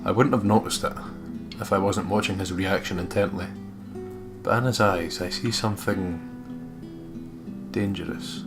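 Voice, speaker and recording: A man co-hosting a let's play, reading the game's text as expressive narration and adding casual commentary. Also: sits low, moderate pace, pauses often. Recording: game music underneath.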